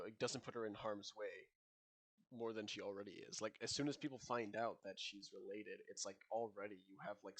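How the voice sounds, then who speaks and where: A man's voice: lowish voice, a bit bright, poor audio. A young man co-hosting a casual book podcast, talking in a rambling way with lots of fillers and frequent laughs. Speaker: podcast co-host.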